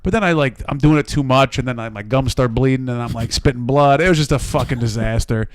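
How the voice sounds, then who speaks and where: An American man with a slightly hoarse voice, co-hosting a podcast with casual, profane, self-deprecating storytelling that rambles. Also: medium pitch, quick pace, dry recording. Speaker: podcast co-host. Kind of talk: casual comedic storytelling among friends.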